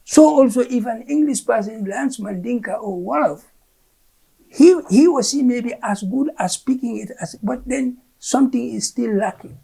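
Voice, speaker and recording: Gambian woman, Fula native speaker, husky and mellow; an emphatic official explaining policy, repeating words mid-thought. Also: on the high side, normal speed, rough recording. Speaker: curriculum official, interview guest. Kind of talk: explaining education policy in an interview.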